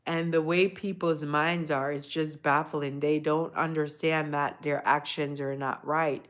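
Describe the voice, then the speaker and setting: A woman with a notably low voice hosts a casual livestream, speaking reflectively to her audience. She greets chatters by name and often repeats a phrase for emphasis. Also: fairly even intonation, measured pace. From Jamaican descent, lives in the US. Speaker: livestream host. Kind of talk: casual live chat with viewers.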